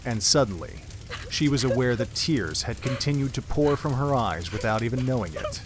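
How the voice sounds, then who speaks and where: A man's voice: medium pitch, animated, normal speed. A man giving solemn, literary scripted narration for an audio drama.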